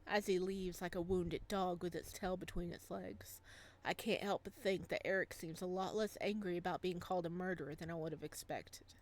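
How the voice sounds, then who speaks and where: A woman's voice: lowish voice, steady tone, moderate pace, natural voice. A woman reading a young male ghost's narration and dialogue from a visual novel, wistful and bitter, in an earnest dramatic manner. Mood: cold, bitter.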